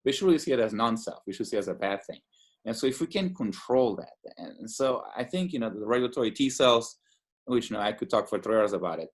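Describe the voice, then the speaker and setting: A man from Portugal, an immunologist in his thirties, talking enthusiastically in a casual interview with many fillers and restarts. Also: on the low side. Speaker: immunologist guest.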